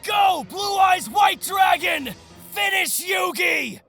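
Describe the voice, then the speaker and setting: An actor playing an arrogant, gloating young male card-game villain in an anime-style audio drama, theatrical and taunting, swinging from sly murmurs to shouts. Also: extremely high pitch, slow pace, creaky, faint music behind, heavily acted. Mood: gloating, battle fury.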